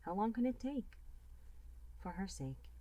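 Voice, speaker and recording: A woman reading a fan fiction story aloud as an audiobook narrator, in an expressive storytelling manner. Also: mid-range voice, measured pace. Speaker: reader and writer of the story.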